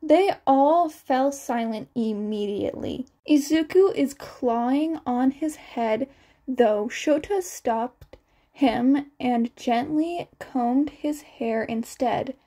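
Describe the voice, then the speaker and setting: A woman's voice: high-pitched, slow, warm tone. A woman reading scripted fan fiction as the narrator of a podfic.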